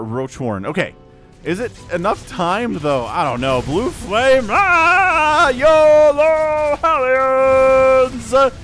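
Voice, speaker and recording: A man casting a strategy video game match solo, excitable and jokey, asking himself questions and reacting as plays unfold. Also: high-pitched. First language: English. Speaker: esports caster. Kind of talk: solo play-by-play commentary.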